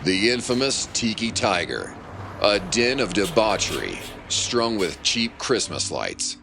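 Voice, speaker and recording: An actor playing a sardonic, florid pulp narrator, reading mystery narration in a theatrical, deliberate way. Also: male voice, lowish voice, big pitch swings, creaky, music in the background, full character voice. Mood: lurid scene-setting. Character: pulpy, sardonic noir narrator with florid relish. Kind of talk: scripted radio mystery narration.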